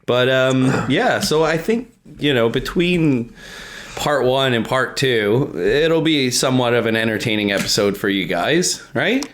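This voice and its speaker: Male co-host: animated intonation, mid pitch, informal, sweary banter. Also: unhurried. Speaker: podcast co-host. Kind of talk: casual chat.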